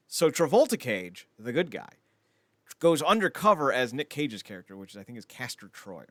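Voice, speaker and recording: A man's voice: mid-range voice, big pitch swings, normal speed, dry recording. A man hosting a podcast in casual chat about films, rambling along with blunt, wry opinions. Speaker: podcast host.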